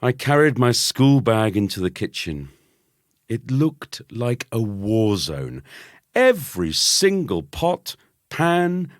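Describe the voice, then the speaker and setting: A man reading a scripted children's story as narrator, with an expressive, wry delivery. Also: lowish voice, no room sound, clean studio sound. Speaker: audiobook narrator.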